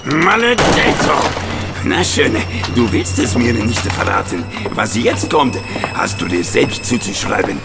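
An actor playing a menacing, impatient, hot-tempered Italian thug with an Italian accent in a German audio drama. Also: male voice, medium pitch, very animated, a little slow, creaky, over loud music. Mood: furious, threatening. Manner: threatening, impatient.

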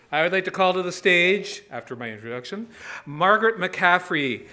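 A male host introducing performers at a small cabaret stage, upbeat, reading bios with quick asides. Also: fairly high pitch, bright, forceful tone. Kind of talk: emcee introductions. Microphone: stand mic. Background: audience.

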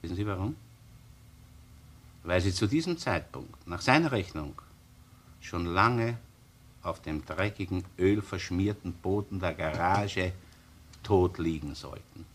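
Sardonic Austrian chief inspector, crime drama: low, rough-edged, insistent, widely swinging delivery. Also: male voice, lively intonation, measured pace, long pauses. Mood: pressing. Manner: insistent, sarcastic, needling. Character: wily, insistent male police chief inspector, sardonic interrogator. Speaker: actor. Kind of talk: crime radio or TV drama acting.

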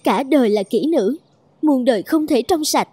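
A woman's voice: high-pitched, lively intonation, moderate pace, dark tone, breathy edge, dry recording. A woman voicing a humble, downtrodden young courtesan in a fairy-tale cartoon, plaintive and self-reproaching. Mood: sorrowful.

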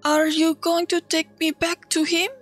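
A woman playing a frightened, traumatized child in a horror audio drama, speaking in halting, broken sentences. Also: very high voice, level tone, soft background music. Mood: fearful, subdued.

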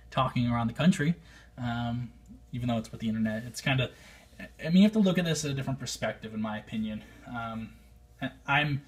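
American male hobbyist vlogger, mid-pitched and husky, casual unscripted review, thinks aloud. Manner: rambling, thinking aloud, hedging opinions. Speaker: amateur radio hobbyist, vlogger.

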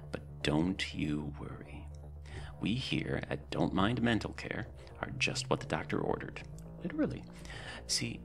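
A man's voice: low voice, vocal fry, fairly bright tone, full character voice. A man playing a politely condescending, bureaucratic mental-care technician with sinister reassurance, in an ASMR roleplay. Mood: falsely soothing. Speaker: roleplay performer. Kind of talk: ASMR roleplay, soft clinical patter.

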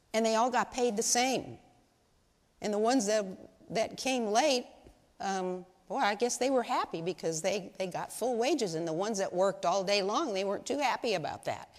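A woman's voice: mid-range voice, normal speed. Older woman speaking in a church. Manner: chatty, folksy, asks the audience questions. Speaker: lay speaker at women's service. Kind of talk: informal church talk to a congregation. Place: large church sanctuary. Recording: long hall reverb in sanctuary.

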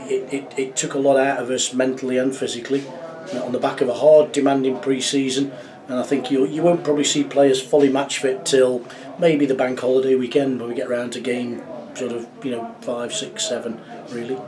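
Middle-aged football manager, slightly distant. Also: male voice, medium pitch, level tone, a crowd in the background. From England. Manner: measured, reflective, many fillers and restarts. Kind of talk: post-match interview. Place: small club office indoors.

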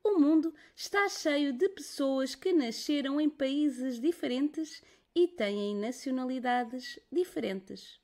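A woman's voice: sits high, darkish tone. A woman from Portugal with a European Portuguese accent, patiently narrating a children's lesson. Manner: slow, patient, explaining to children. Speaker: teacher narrator.

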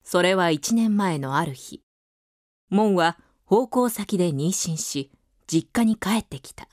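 A woman's voice: on the low side, animated, slow, darkish tone, dry recording, clean studio sound. A woman narrator reading scripted narration for a literary radio drama.

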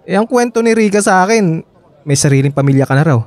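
A forward young Filipino ex-boyfriend in a radio drama. His pitch swings widely, and the sound is close and dry. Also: lively intonation, fast talker, darkish tone, no echo. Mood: surprised, friendly. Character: eager, familiar ex-boyfriend, young man.

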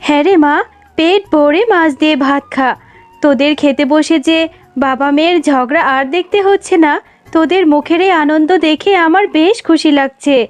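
High-voiced caring mother fox played by a woman. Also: normal speed, faint music behind, lightly acted. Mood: pleased. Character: caring, agreeable mother fox, village housewife. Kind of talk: Bengali children's cartoon dialogue.

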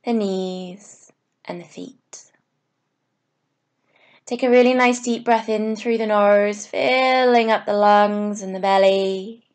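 Woman yoga instructor doing guided meditation, really slow and soothing, short phrases w pauses, mid pitched voice. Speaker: yoga teacher.

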